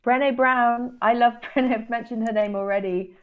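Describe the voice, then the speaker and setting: A woman's voice: a little high, fairly even intonation, normal speed, smooth. Enthusiastic woman guest on lo-fi audio. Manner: thoughtful, enthusiastic, laughs easily. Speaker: guest, speaking coach. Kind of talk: conversational interview.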